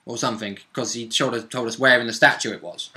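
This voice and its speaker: A man of middling pitch speaks with a very bright, sharp edge to his voice. He chats casually as a player and co-host, recapping a game session. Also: fairly even intonation, quick pace.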